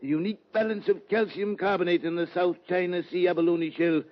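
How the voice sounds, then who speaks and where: An actor playing an oily, pompous fat villain posing as a doctor in a radio western, mock-courteous with drawn-out hesitations. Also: male voice, sits high, fairly even intonation, close to an everyday voice. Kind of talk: 1940s-50s radio western acting. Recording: dull lo-fi old radio recording.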